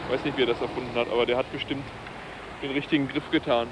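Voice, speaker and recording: A man talks quickly and evenly, giving a casual opinion in an interview. There is clear car-like noise outdoors behind him. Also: mid-range voice, steady tone. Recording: noisy outdoor tape with cars.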